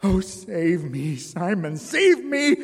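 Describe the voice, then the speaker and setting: A man's voice: a little high, lively intonation, moderate pace, a little mellow, slightly rough. A man reading a story aloud, voicing a terrified young woman who pleads and sobs. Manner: pleading, sobbing. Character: terrified young woman, circus performer's wife. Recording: long hall reverb.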